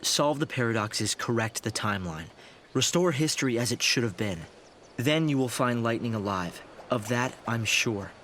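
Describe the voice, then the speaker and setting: An actor playing a thoughtful, idealistic young researcher and leader in a video game, explaining in a measured way. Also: male voice, mid-range voice, animated, a bit bright, a little hiss or hum, lightly acted. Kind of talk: video game cutscene acting.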